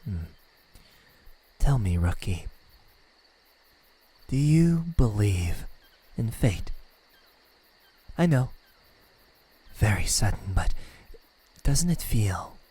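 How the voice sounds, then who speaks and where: A man playing a smug, teasing demon in an ASMR roleplay, taunting softly with drawn-out pauses and a breathy, husky voice. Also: mid-range voice. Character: smug, teasing male demon toying with a captive. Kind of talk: ASMR roleplay, slow intimate villain acting.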